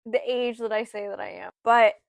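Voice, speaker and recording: A woman in her twenties, rambling through a casual personal story with lots of fillers, her voice sometimes dropping soft. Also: a little high, flat delivery, fairly quick, clean tone, dry recording. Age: 20s. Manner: rambling, lots of "like" and "you know", voice drops soft at times. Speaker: vlogger.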